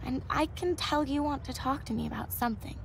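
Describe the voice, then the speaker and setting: A woman acting a gentle, devout young student in a video game, fragile but hopeful, earnest and sincere. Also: sits high, fairly quick, vocal fry, slight background noise, rough recording. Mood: earnest, reflective. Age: young adult. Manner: soft-hearted, earnest, sincere.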